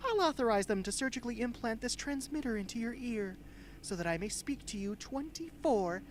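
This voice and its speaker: Radio play role: woman as a sarcastic, threatening minder, very animated pitch, noisy recording. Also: close to an everyday voice. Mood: stern, threatening. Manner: sarcastic, bossy, deliberate. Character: sardonic, threatening guardian who relishes giving orders. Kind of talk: amateur radio drama acting.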